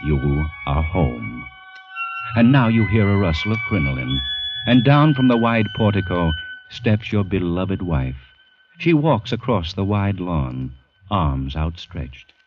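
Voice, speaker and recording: An actor playing an intense storyteller, narrating an old-time radio drama theatrically in an American accent. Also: male voice, deep voice, big pitch swings, takes it slowly, music in the background, heavily acted. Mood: hushed, tender. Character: intense, ominous second-person storyteller. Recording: muffled vintage radio recording. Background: dramatic orchestral score.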